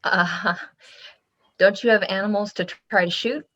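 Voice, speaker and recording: A woman's voice: mid-range voice, steady tone, unhurried, fairly bright tone, muffled recording, close to an everyday voice. An actress playing a protective, worn wife and mother in a survivor camp, read as a drama table read. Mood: tender, teasing.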